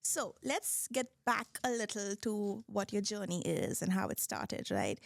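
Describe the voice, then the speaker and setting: A woman's voice: medium pitch, wildly swooping pitch, a little slow, gravelly, dry recording, studio quality. A young adult woman hosts a podcast, reading a scripted intro and then asking questions in an upbeat, encouraging manner. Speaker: podcast host. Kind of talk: podcast interview hosting.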